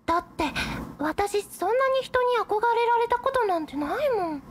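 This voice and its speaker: A woman's voice: very high-pitched, expressive, moderate pace, a little hiss or hum. A woman playing a timid, put-upon teenage schoolgirl in a radio drama, polite and girlish as she talks and narrates. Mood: exasperated. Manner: girlish, polite first-person narration, hesitant dialogue. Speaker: lead actor and narrator. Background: background music.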